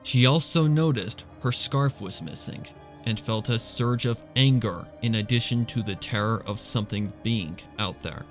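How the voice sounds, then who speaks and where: A man's voice: fairly low pitch, moderate pace, soft background music. A man reading a suspenseful story as narrator, in a scripted dramatic storytelling style. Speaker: fan fiction reader and narrator.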